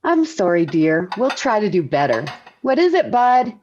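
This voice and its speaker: A woman's voice: medium pitch, very animated, normal speed, darkish tone, a little hiss or hum, lightly acted. A woman playing a patient, soothing housewife and mother in a 1940s radio sitcom. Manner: placating, motherly. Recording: dull 1940s radio recording.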